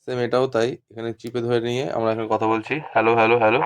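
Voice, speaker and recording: A man's voice: medium pitch, monotone, racing, smooth. A man giving an informal hands-on tutorial as an instructor, explaining step by step and repeating himself. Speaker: instructor demonstrating walkie-talkies.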